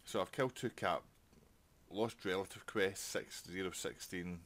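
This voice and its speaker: A man with a low-pitched voice hosts a casual let's play. He chats informally and thinks out loud as he plays. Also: normal speed. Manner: chatty, thinking aloud while playing. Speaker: gaming channel host.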